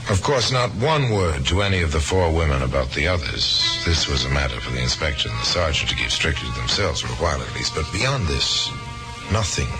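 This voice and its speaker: Very deep, grave crime-story narrator. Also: male voice, animated, fairly quick, over music, low-quality recording. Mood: grave, knowing. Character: grave, knowing male storyteller of crime tales. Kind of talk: old-time radio drama narration.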